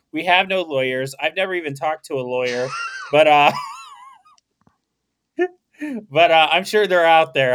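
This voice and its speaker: A young man hosting a podcast, his voice sitting a little high for a man. He rambles through jokes and swears freely, laughing often as he goes. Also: fairly bright tone, clear, resonant tone. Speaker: podcast host. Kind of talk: casual comedic podcast banter.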